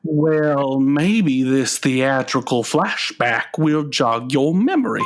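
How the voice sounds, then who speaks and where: A man acting in a comic radio play as an earnest, anguished grown son and frustrated musician, halting and melodramatic. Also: medium pitch, animated. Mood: recounting, rueful.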